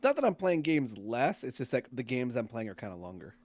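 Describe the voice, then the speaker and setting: A man's voice: mid-range voice, big pitch swings, a little fast, darkish tone. Blunt man in casual gaming chat. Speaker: podcast host. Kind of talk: casual chat about games.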